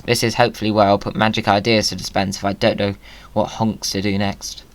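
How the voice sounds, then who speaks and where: A man's voice: on the low side, level tone, a little fast, slight background noise. A man giving casual, playful gaming commentary with whimsical wording. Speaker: video creator.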